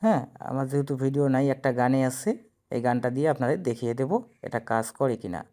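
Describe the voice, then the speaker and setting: A man's voice: medium pitch, brisk, warm tone. A man giving a casual step-by-step phone app tutorial, instructing viewers directly and repeating himself. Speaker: tutorial presenter.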